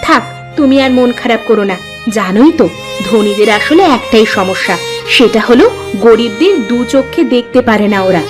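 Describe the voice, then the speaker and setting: A woman's voice: on the high side, animated, moderate pace, music in the background, natural voice. A woman voicing a proud, plain-spoken poor village wife in a cartoon drama. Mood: sympathetic.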